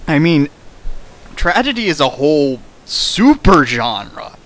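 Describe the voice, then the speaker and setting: Guy hosting a fanfic commentary, kinda high bright voice, emphatic and jokey. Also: very animated, takes it slowly. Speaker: host of a dramatic fan fiction reading. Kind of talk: casual critical commentary, partly read from a script.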